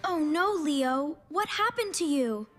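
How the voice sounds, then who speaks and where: A woman voicing a caring cartoon mother who speaks gentle, simple broken English. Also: sits high, lively intonation, slow, faint music behind, exaggerated voice.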